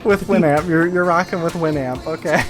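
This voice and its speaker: Casual, hesitant woman narrating, low-pitched voice. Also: normal speed. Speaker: game master. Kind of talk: improvised game narration. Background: faint music.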